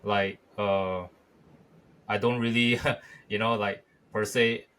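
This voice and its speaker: A man's voice: on the low side, steady tone, takes it slowly, a bit bright. A man with a Malaysian English accent, talking hesitantly on a podcast with many "you know" fillers. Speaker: podcast co-host. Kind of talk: casual chat.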